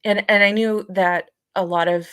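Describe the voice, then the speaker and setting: A young adult woman co-hosting a podcast in reflective, casual conversation, haltingly repeating phrases as she thinks. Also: medium pitch, steady tone, fairly bright tone, smooth, no echo, clean studio sound. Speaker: podcast co-host.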